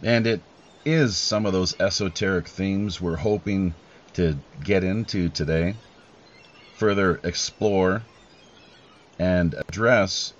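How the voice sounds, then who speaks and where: A man hosting a podcast with deliberate, measured delivery and formal, announcer-like phrasing. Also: deep voice, dull audio. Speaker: podcast host.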